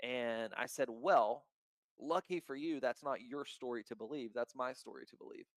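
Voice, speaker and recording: A man who founded a crypto token, talking in a casual podcast chat, outspoken and blunt with a loud voice and free swearing. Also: mid-range voice, normal speed, no room sound.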